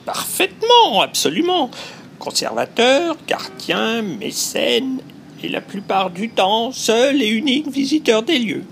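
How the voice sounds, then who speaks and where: A woman's voice: on the high side, big pitch swings, takes it slowly, faint music behind, full character voice. A woman voicing an elderly male count who runs a museum, courtly and pompous, in a radio drama. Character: old male count, fussy museum curator.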